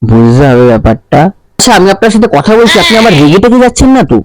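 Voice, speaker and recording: A man's voice: sits high, very animated, rapid-fire, background noise. A man making a prank phone call, teasing and flirting in a mock-official way. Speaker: prank caller.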